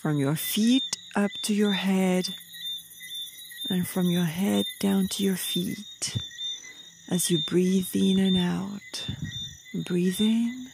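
A woman leading a guided meditation in a soft, breathy, soothing voice, with short phrases and long pauses. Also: mid-range voice, steady tone, background noise. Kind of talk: slow guided meditation narration.

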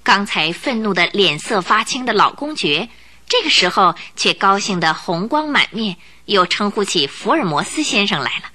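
A woman narrating a radio drama series with vivid, expressive storytelling. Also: mid-range voice, normal speed, crowd noise. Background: background music.

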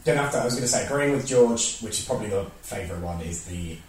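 A man chatting about his favourite film scenes in a relaxed way. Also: on the low side, fast. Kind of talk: casual film chat. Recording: echoey room, distant mic.

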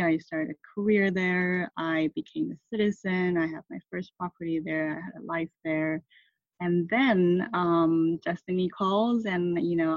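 A woman's voice: lowish voice, gravelly, dry recording. A woman from Taiwan in her thirties, a guest in an interview, speaking thoughtfully with many fillers and restarts. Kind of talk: reflective conversational interview. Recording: dull muffled sound, dry.

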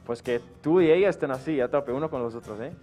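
A man's voice: mid-range voice, quick pace, dark tone, faint music behind. A man hosting a casual podcast, talking with lots of enthusiasm and easy swearing. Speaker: podcast host. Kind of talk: casual chat.

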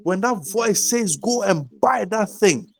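A man's voice: high-pitched, lively intonation, unhurried, creaky. A man preaching and leading congregational prayer, making insistent, repeated declarations. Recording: live room with crowd noise behind. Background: congregation praying.

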